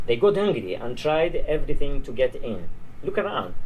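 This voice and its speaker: A man's voice: medium pitch, very animated, normal speed, a little mellow, lightly acted. A man reading the part of a tired old border guard in a story, chatty, dismissive and grimly amused. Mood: incredulous, discouraging. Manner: weary, chatty, discouraging. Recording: noisy live reading in a bar.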